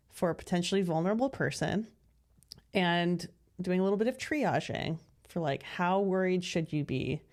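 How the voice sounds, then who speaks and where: A woman co-hosting a podcast, talking casually and thoughtfully, often saying "like". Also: fairly low pitch. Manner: thoughtful, chatty, many "like" fillers. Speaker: podcast co-host. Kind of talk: casual conversation.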